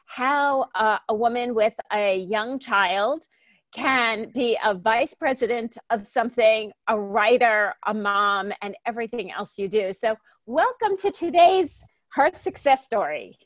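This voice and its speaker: Higher dry-voiced woman podcast host. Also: lively intonation, a little slow, fairly bright tone, no room sound. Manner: chatty, self-correcting, stumbles over names. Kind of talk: podcast interview hosting.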